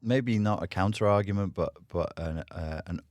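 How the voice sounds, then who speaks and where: A man's voice: deep voice, normal speed, darkish tone, no room sound. A man talking as a podcast guest, blunt and provocative, laughing often as he argues. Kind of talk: casual debate chat.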